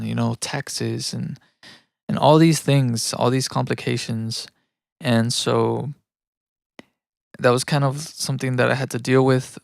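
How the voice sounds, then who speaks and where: A man's voice: medium pitch, gravelly, no echo, clean studio sound. A man from Mexico living in the United States chats casually and thinks aloud in a rambling, self-reflective way while producing music on a livestream. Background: electronic music.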